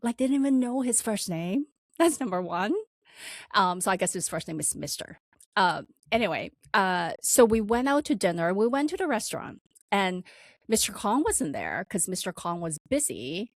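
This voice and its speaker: A woman's voice: mid-range voice, moderate pace, no room sound, studio quality. A woman with a slight non-native accent, co-hosting a podcast and telling a work story in casual chat, acting out the quotes. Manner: animated storytelling with laughs and acted-out quotes. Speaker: podcast co-host.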